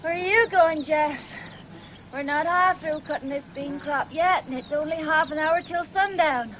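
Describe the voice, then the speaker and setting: An actress playing a stubborn, hard-working country woman, sharp-tongued, in a radio drama. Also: high voice, normal speed. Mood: angry, determined. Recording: hissy 1970s radio drama recording.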